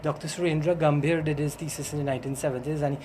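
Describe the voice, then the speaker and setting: A man's voice: fairly high pitch, steady tone, quick pace, darkish tone, slight background noise. A middle-aged man with a Trinidadian accent, explaining things informally as an interview guest, with some hesitation. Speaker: interview guest, language teacher and doctor.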